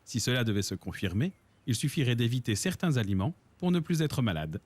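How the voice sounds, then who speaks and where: A man narrating a cartoon in a scripted, gently ironic storytelling manner. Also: mid-range voice, very animated, brisk, slightly rough. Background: music.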